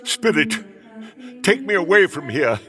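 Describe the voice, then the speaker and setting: Anguished old miser, radio drama, widely swinging pitch, halting emotional delivery, music behind. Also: male voice, big pitch swings, fairly bright tone, full character voice. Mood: anguished pleading. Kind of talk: radio play acting.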